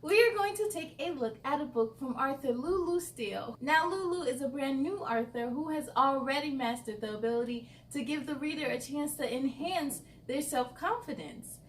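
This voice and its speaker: A woman's voice: sits high, lively intonation, normal speed, hardly any pauses, distant mic, slight background noise. A woman hosting a children's read-aloud, speaking enthusiastically and encouragingly straight to young listeners, with chatty asides. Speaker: host of a children's read-aloud story series.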